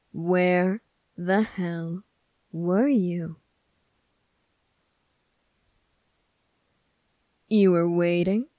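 A woman playing a smug, scornful male demon prince in an ASMR roleplay, speaking in a condescending, deliberate way. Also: fairly low pitch, warm tone, dry recording. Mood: accusing.

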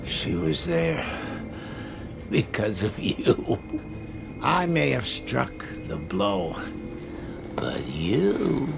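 A man's voice: fairly low pitch, gravelly, exaggerated voice. A man playing a sadistic, coldly cruel villain in a film, taunting and menacing. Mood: gloating. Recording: film dialogue under loud music.

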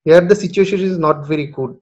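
A man's voice: on the high side, brisk, a little mellow, highs cut off. A man from Bangladesh, a freelance recruitment consultant, conducting a job interview over a video call with many hesitations.

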